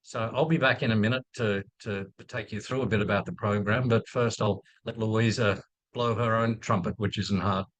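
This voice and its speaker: A man's voice: fairly low pitch, fairly even intonation, normal speed, a bit bright, no echo. A man in his fifties with an Australian accent, speaking unscripted on a video call with many ums and restarts. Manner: hesitant, many fillers and restarts. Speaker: infectious disease physician, program director. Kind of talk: unscripted talk in a webinar.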